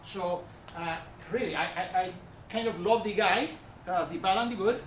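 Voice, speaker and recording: A man with a Puerto Rican Spanish accent lectures on art in a gallery hall, wandering through his sentences, stopping and restarting, leaning on plenty of "uh" and "um". He sits at a middling pitch and is picked up from well back in a reverberant room. The sound is dull and muffled, with steady hiss and murmur underneath. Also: moderate pace. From Puerto Rico. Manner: rambling, self-correcting, with many fillers. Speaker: art history presenter. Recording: far-off echoey room mic, dull and noisy.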